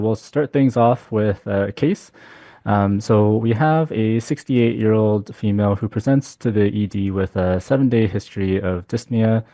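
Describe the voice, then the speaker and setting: A man with a low, mellow voice walks through a clinical case, his delivery dotted with ums and uhs. The recording is dry and close. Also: dry recording. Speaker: physician speaker.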